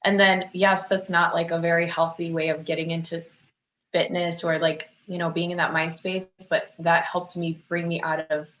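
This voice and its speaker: A woman's voice: on the low side, level tone, normal speed, a bit bright, lo-fi sound. A woman from Chicago talking casually and candidly as a fitness coach being interviewed. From Chicago, United States. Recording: echoey room with faint background noise.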